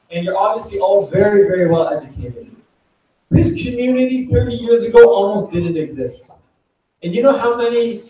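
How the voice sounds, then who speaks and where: Man, high voice, chatty conference speaker, heavy hall echo, distant from the mic. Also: mellow, slight background noise. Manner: chatty, engaging, quizzes the audience. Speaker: startup founder giving a talk. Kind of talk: conference talk to a live audience. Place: event venue.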